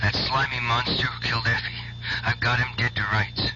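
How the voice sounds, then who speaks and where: An actor in a radio drama playing a defiant, grieving young nightclub performer obsessed with revenge, intense and insistent. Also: male voice, mid-range voice, flat delivery, brisk, natural voice. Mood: feverishly excited. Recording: dull, noisy old radio recording.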